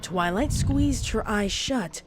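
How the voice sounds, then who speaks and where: A woman's voice: on the high side, animated, takes it slowly. A woman reading scripted, dramatic story narration as the narrator of a fan-fiction tale. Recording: music behind the narration. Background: music.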